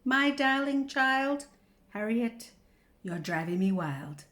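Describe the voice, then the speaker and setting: A woman voicing an exasperated storybook mother who holds back from yelling at her pesky child with slow, drawn-out endearments. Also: on the high side, very animated, exaggerated voice. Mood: strained patience.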